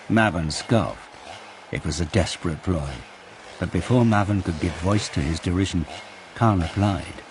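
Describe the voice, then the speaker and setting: A man narrating a dark war story as a grave storyteller in an audio drama. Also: sits low, unhurried, long pauses, background noise. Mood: grave, dramatic.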